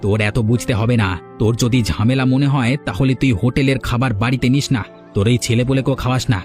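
A man plays a stubborn village eatery boss in a cartoon. He rattles off his orders at a quick clip in a mid-pitched voice, scolding and domineering throughout. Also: steady tone, a little mellow, soft background music, natural voice. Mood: irritated, bossy. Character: bossy, stubborn village hotel owner. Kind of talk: Bengali cartoon voice acting.

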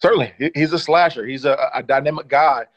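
A man in his thirties with a slightly higher voice breaks down football film in a relaxed, conversational way. His audio is dry and a little muffled, like a video call. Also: fast talker, dry recording. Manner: relaxed, leans on "certainly" and "uh". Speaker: former running back, analyst guest.

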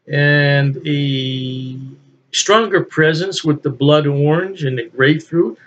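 An older man talks through a beer tasting in a relaxed, folksy way, chuckling to himself as he goes. His voice sits a little high for a man. The webcam sound is somewhat dull and lo-fi. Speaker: beer reviewer. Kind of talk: casual solo video review. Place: home office with beer memorabilia, indoors. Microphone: webcam at desk.

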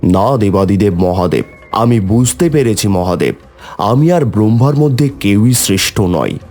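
A man voicing a calm, assured preserver god in a measured, formal way for a cartoon myth. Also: fairly low pitch, faint music behind. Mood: humbled. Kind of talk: mythological cartoon dialogue.

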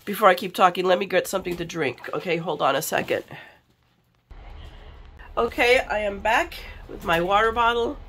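A middle-aged American woman, sick and low on energy, chatting casually and rambling in a confiding way for a craft vlog. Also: moderate pace, pauses often, a bit bright, slight background noise. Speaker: craft vlogger. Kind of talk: casual chat in an unboxing vlog. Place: indoors at a craft table.

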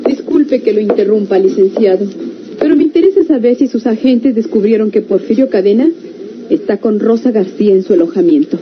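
A dull vintage radio recording, faintly noisy, holds an actress as a polite Mexican young lady. She is formal and doubtful, questioning with quiet persistence at a medium pitch. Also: brisk, close to an everyday voice. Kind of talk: old Mexican radio serial acting. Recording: muffled old radio recording, faint noise.

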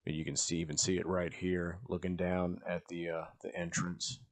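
Brief: man, very low voice, casual explanatory voice-over for travel footage. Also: level tone, moderate pace. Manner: plain, explanatory, with frequent "uh"s. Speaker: travel vlogger. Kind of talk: voice-over narration of drone footage.

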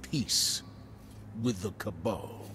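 An actor playing a stern, dutiful middle-aged military commander, speaking with measured, solemn authority in a video game. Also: male voice, fairly low pitch, noisy background. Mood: solemn, firm. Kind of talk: video game mission briefing.

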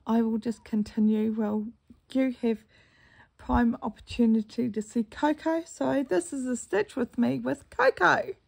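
A croaky-voiced woman with a New Zealand accent, telling a meandering story while she stitches. She talks slowly in a gravelly, slightly high voice, pausing to clear her throat now and then. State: croaky morning voice. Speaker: cross-stitch vlogger. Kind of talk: casual chatty vlog while stitching.

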